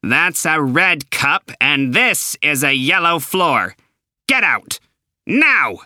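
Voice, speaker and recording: A man voicing a smug, cold-eyed male company boss in a storybook reading, icily polite with sudden angry shouts. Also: mid-range voice, big pitch swings, slow pace, no room sound, clean studio sound, exaggerated voice. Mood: shouting. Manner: icy politeness breaking into shouting.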